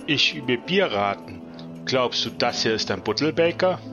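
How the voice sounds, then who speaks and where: A man's voice: mid-range voice, expressive, normal speed, over music, close to an everyday voice. A man playing a laid-back pirate crewman in a tavern, in an improvised audio adventure. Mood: relaxed, musing.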